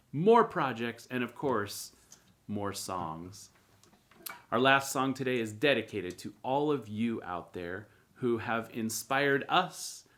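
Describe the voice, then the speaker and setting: A man hosting a children's show, giving a gentle scripted sign-off and thanking the audience. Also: medium pitch.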